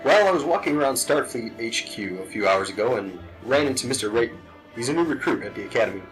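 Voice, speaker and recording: A man's voice: mid-range voice, lively intonation, quick pace, over music, lightly acted, rough recording. A man playing a troubled, reticent starship captain in a sci-fi audio drama. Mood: evasive, subdued.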